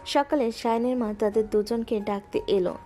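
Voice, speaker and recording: A woman narrating a romantic audio story in a smooth, even storytelling voice. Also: on the high side, normal speed. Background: soft background music.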